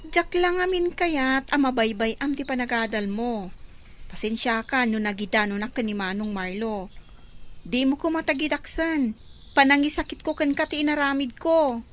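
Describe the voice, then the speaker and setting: A woman's voice: sits high, moderate pace, a bit bright. A woman playing a concerned young female roommate in an Ilocano radio drama. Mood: concerned, apologetic. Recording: radio drama with music bed.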